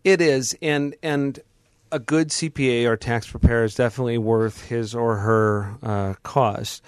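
A man hosting a radio advice show, explaining taxes and bonds in a measured way with dry humor. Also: medium pitch, fairly even intonation, smooth, no room sound. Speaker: financial advice host.

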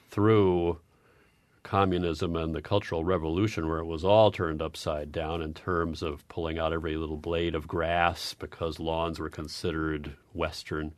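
A male interviewer asks long, winding questions that build up through several clauses. His voice sits very low. The sound is dry. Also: no echo.

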